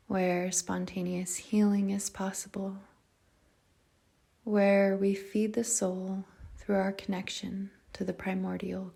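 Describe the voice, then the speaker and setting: Female meditation guide: unhurried and even, soft breathy delivery with a gravelly fry at the edges. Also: medium pitch. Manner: calm, measured, gentle, with short phrases and long pauses. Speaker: yoga nidra meditation guide. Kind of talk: guided meditation, slow soothing narration.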